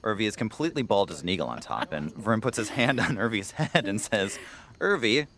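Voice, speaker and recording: A man playing a jovial, chatty gentleman who loves an anecdote, in a period audio drama. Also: on the low side, big pitch swings, a little fast, a crowd in the background. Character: George, jovial anecdote-telling gentleman among 1930s aviation friends.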